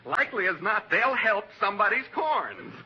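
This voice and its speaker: A man's voice: sits high, big pitch swings, normal speed. An actor playing an effusive, melodramatic, dim delivery man in a 1940s radio comedy. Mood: eager, sunny. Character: gushingly happy, dim drugstore delivery man. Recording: muffled, noisy old radio recording.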